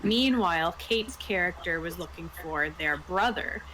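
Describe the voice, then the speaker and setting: A woman's voice: on the low side, lively intonation, unhurried, pushed and bright, a little hiss or hum, rough recording. A woman in a casual chat, asking questions as a player in a tabletop game. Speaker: player interviewing the game master.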